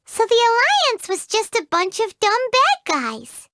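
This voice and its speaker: A woman's voice: very high-pitched, wildly swooping pitch, unhurried, sharp tone, exaggerated voice. An actress voicing a tiny, bubbly floating female companion in a video game, who talks about herself in the third person.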